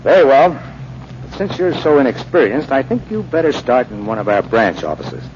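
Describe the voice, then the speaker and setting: A man's voice: mid-range voice, very animated, moderate pace, lightly acted. An actor playing a stiff, skeptical, formal businessman in 1940s radio style. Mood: suspicious, flustered. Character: wary, formal businessman doubting a young relative. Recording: dull, noisy 1940s radio broadcast.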